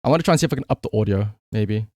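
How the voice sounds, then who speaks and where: A man doing casual livestream gaming commentary in an excitable, emphatic way, prone to shouting and swearing. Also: lowish voice, very fast, no room sound, studio quality. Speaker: live streamer.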